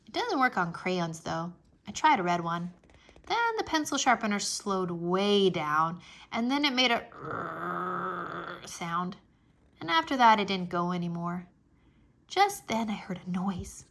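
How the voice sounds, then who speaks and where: Bright, very lively woman reading a storybook. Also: fairly low pitch, slow pace, vocal fry. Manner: animated, childlike first-person storytelling. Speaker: read-aloud narrator for children. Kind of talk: expressive children's storybook narration.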